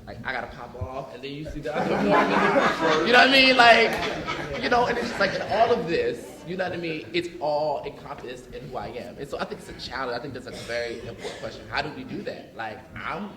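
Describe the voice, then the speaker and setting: A man from North Philadelphia speaking as an educator on a panel discussion, talking in an animated way with many fillers. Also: a little high, fairly quick, echoey room, crowd noise. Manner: animated, frequent fillers like "like" and "you know what I mean". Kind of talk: panel discussion, casual conversational. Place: large room with audience.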